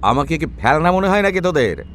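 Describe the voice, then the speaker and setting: A volatile, menacing, controlling husband. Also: male voice, fairly high pitch, very animated, fairly quick, fairly bright tone, a little hiss or hum. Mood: contemptuous rage. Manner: bullying, contemptuous, explosive. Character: angry, controlling, jealous husband, proud and menacing. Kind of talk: audio drama acting.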